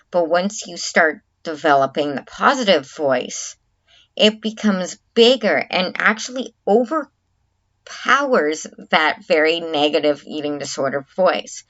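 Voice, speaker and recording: A woman's voice: on the low side, a bit bright. A woman vlogger talking candidly to camera, earnest and reflective, often stopping and restarting her sentences. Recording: dull lo-fi recording.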